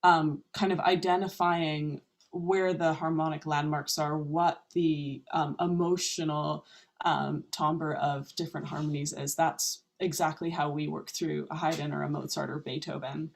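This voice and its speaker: A woman from Vancouver, a string quartet musician, answering interview questions thoughtfully with frequent pauses. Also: low voice, little pitch movement, gravelly. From Vancouver, Canada. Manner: thoughtful, with frequent ums and restarts.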